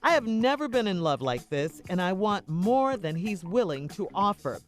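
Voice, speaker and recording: Lady radio host reading stuff out, animated delivery, faint music behind. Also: mid-range voice, moderate pace. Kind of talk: radio hosting, reading letters and ads. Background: soft music.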